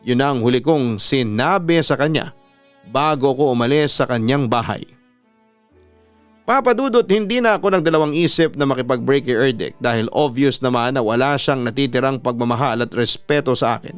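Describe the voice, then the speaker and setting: Guy radio storyteller, dramatic narration, clear dry voice, bit of music under it. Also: mid-range voice, moderate pace, dry recording. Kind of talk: dramatic scripted first-person story narration.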